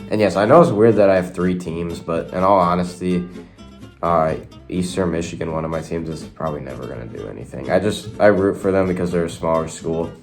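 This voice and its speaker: A man's voice: deep voice, fairly quick. A young man with a cold, sounding nasal, casually chatting through sports predictions and rambling to his viewers. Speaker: sports video host. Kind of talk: casual predictions talk to camera. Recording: faint guitar music behind.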